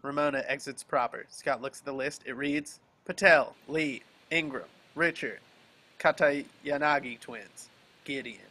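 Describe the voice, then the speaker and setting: A man's voice: mid-range voice, unhurried, pushed and bright. A man reading stage directions as scripted narration for a dramatic table read over a video call.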